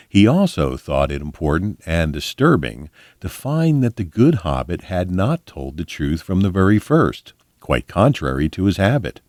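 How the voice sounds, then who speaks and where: A man reading a fantasy book aloud in an expressive audiobook style, with measured, dramatic storyteller phrasing. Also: deep voice, no room sound, clean studio sound. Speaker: volunteer storybook reader.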